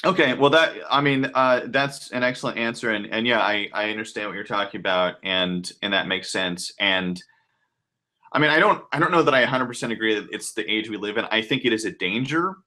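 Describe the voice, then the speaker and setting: Male interview host, lowish but bright voice, informal and rambling, often restarting his questions. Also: expressive, a little fast. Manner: hesitant, rambling, restarts mid-sentence. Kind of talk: casual interview.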